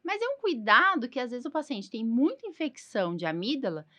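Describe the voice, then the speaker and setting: A woman's voice: a little high, big pitch swings, a little fast, sharp tone. A woman with a Brazilian Portuguese accent hosting a podcast as a doctor, chatting informally and often ending on little tag questions. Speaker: podcast host, allergist doctor. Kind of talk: casual podcast interview.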